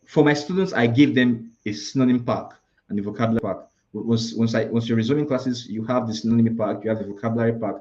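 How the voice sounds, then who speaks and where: A man's voice: medium pitch, fast, few pauses, a little mellow, lo-fi sound. A man with a Nigerian accent, teaching an IELTS preparation class live, explaining scoring and listing word alternatives with frequent restarts. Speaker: IELTS tutor.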